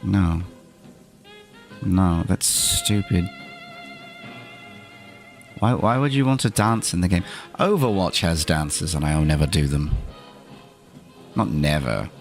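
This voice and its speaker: Streamer guy doing a put-on accent, slow and swoopy, theatrical and dry, faint music behind. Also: deep voice, animated, vocal fry. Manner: dry, opinionated asides. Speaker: streamer host. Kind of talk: in-character livestream chat.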